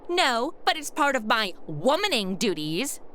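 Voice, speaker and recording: A woman's voice: a little high, very animated, measured pace, bright, forceful tone, slight background noise, exaggerated voice. An actress playing a sassy modern young woman in a comedic radio drama, sarcastic and slangy. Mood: indignant.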